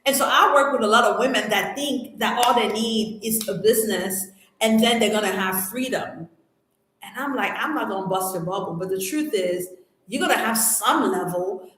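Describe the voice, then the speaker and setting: Lively echoey woman from Cameroon. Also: fairly high pitch, normal speed. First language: French. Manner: animated, emphatic, slangy with rising exclamations. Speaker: livestream host answering viewer questions. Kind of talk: casual live Q&A chat. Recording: very echoey room.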